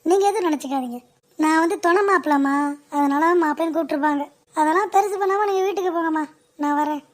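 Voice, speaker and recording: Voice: very high voice, fast talker. A performer voicing a sly young bridegroom in a cartoon comedy, glib and evasive, forever making excuses.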